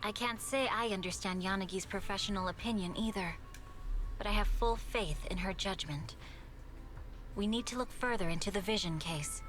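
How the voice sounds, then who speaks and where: A woman's voice: medium pitch, moderate pace, fairly bright tone, faint music behind, close to an everyday voice. An actress voicing a stern, literal-minded female fox officer with a solemn, formal manner in a game. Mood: stoic. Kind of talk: anime-style game voice acting.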